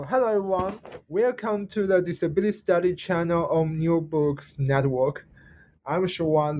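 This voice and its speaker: A man's voice: a little high, a little mellow. Hesitant man hosting, faint noise. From China. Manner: hesitant, frequent self-corrections and apologies. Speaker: podcast host. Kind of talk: interview hosting.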